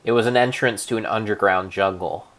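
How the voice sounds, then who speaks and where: A man narrating a video game as a dramatic story, in a theatrical, deliberate, mock-solemn manner. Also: fairly low pitch, a bit bright.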